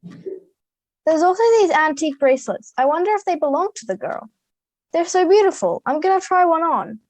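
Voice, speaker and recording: An Australian girl of about ten playing an excitable, impulsive modern schoolgirl in an amateur radio play. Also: very high voice, normal speed, low-quality recording. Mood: fascinated. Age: 10 to 11, child. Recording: home video-call recording with music.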